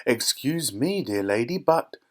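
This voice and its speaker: A man voicing a flamboyant, excitable eccentric factory owner for a children's storybook, exuberant and emphatic, like a showman. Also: mid-range voice, a little slow. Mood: proud.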